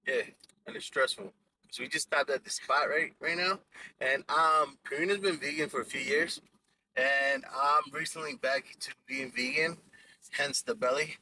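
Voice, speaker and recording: A young man from Ecuador hosts a loose, joking podcast from the seat of a car, sliding between English and Spanish. His voice is very bright and sits a little high. A faint hum of the car runs under him. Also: normal speed, rough recording. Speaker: podcast host. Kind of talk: casual podcast banter.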